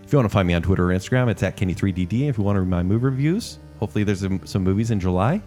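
A man's voice: low voice, lively intonation, racing, warm tone, faint music behind. A man co-hosting a podcast who reads out listener thank-yous and teases his friends. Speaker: podcast co-host, movie reviewer. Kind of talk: casual banter.

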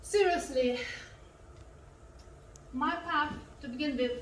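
A woman's voice: sits high, takes it slowly. A woman with a Lithuanian accent giving a personal motivational speech to an audience. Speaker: conference speaker. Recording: echoey hall, distant mic, faint noise.

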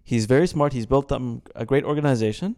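A man's voice: mid-range voice, a little fast, smooth. A young man from Kuwait with an American-sounding accent, co-hosting a casual sports chat and giving his opinions. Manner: opinionated, animated when ranting. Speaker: podcast co-host.

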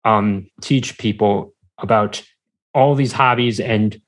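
A man as a podcast guest, talking casually and haltingly as he searches for words. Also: fairly low pitch. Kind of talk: casual conversational interview.

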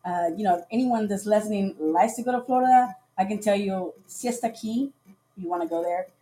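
A woman's voice: medium pitch, normal speed, dark tone. A woman from Costa Rica, a podcast guest, chatting casually on a livestream and acting out the dialogue in her stories. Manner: chatty storyteller who acts out quoted dialogue.

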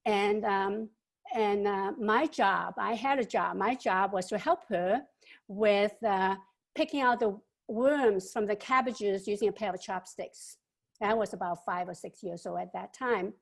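An older woman in her seventies with a gravelly, fry-tinged voice. She answers an interview question at length, and her reflective telling stops and starts with fillers and repeated words. Her pitch sits in the middle range. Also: measured pace. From China. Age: 70s.

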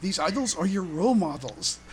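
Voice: mid-range voice, very animated, moderate pace, crowd noise. A podcast co-host chatting casually about fandom in a joking, sarcastic way. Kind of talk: casual fan podcast chat. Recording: hobby podcast with clear background noise.

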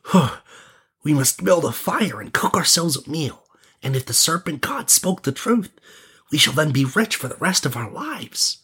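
Man voicing a bold, bright-toned young storybook prince. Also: on the high side, big pitch swings, moderate pace, breathy edge, voice pushed up, exaggerated voice. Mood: breathless, eager. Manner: grand, old-fashioned storybook phrasing.

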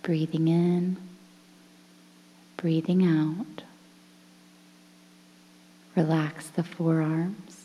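Female meditation guide, deep and mellow, soft breathy delivery, bathed in long reverb. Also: flat delivery. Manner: soothing, breathy, near-whispered, measured phrases with pauses. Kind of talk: guided relaxation meditation, softly spoken. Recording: long reverberant hall-like echo.

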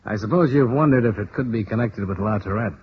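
An actor playing a hard-boiled American insurance investigator in a vintage radio drama, cool, terse and steady in narration and dialogue. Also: male voice, on the low side, fast, lightly acted. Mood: cool, probing. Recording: muffled, noisy old radio recording.

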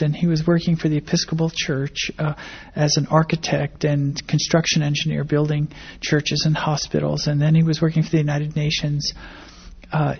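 An older American woman with a husky, creaky voice gives conversational interview answers. The pitch moves little and the phrasing is thoughtful and hesitant. The recording is dull and lo-fi. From grew up in Indonesia and the Philippines, American-born. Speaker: interviewee, academic biologist.

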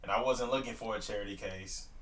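A man's voice: lowish voice, fast talker, a bit bright, echoey room, far from the mic, a little hiss or hum. A man in his early twenties, talking casually about his marriage and faith, with lots of fillers and loose grammar. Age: 20s. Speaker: podcast co-host. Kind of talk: casual faith-based couple talk.

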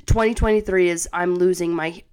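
A woman's voice: on the low side, fairly even intonation, brisk, darkish tone, a little hiss or hum. A young woman co-hosting a casual chat podcast, stuffy from a cold, telling stories with a dramatic streak. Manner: dramatic, storytelling. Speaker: podcast co-host.

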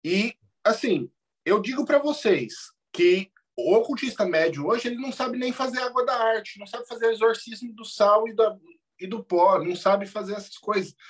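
Lively emphatic Brazilian man. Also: high voice, moderate pace. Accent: Brazilian Portuguese. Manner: enthusiastic, emphatic. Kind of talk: casual podcast chat.

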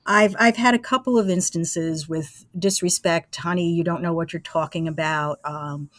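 A woman's voice: on the low side, steady tone, moderate pace, no room sound. Older American woman reminiscing, dry close sound. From Brooklyn, New York, USA. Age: 60s. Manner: easygoing, chatty, anecdotal. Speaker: interview guest, former college radio engineer. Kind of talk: casual reminiscing.

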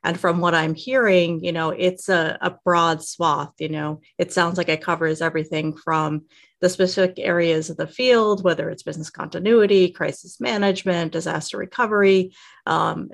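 A woman speaking conversationally as an interviewer on a podcast, in an affirming, measured way. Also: on the low side, creaky. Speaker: guest interviewer, resilience specialist.

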